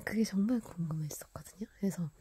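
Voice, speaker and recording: A woman whispering a personal story into a close microphone, laughing quietly now and then. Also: medium pitch, quick pace, slightly rough.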